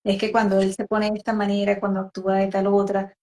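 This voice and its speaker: A woman born in Venezuela hosting a talk, speaking reflectively and with measured restarts about raising her children. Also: medium pitch, monotone, warm tone. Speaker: host, mother. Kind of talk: conversational talk.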